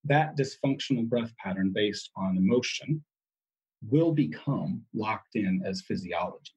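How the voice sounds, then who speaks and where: A man's voice: on the low side, animated, a little slow, darkish tone, slightly rough, low-quality recording. A man speaking as an interview guest, explaining in an emphatic way and often repeating his key words.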